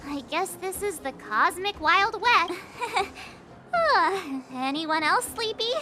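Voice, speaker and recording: A woman's voice: extremely high pitch, expressive, slow, background noise, full character voice. A woman voicing a bubbly, upbeat young girl companion in a game, chirpy and playfully teasing. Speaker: game character. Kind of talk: game voice acting.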